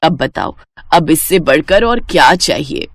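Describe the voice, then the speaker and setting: Woman as middle-aged Indian mother, low voice, affectionate, scolding, coaxing, dry close sound, story dialogue. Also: expressive, a little slow, creaky, no room sound, heavily acted. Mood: persuading. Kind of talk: animated Hindi moral story dialogue.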